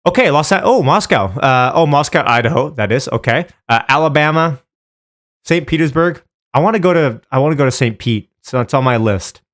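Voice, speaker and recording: A man's voice: a little high, expressive, brisk, a bit bright. A man hosting a live stream, chatting informally with viewers by name and giving casual commentary.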